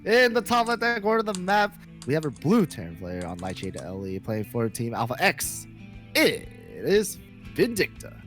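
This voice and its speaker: A man casts a video game match live over a headset mic, teasing his co-caster and joking between calls. His pitch swings widely as he talks. Faint music sits under the commentary. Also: huge pitch swings, moderate pace, fairly bright tone. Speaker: esports caster.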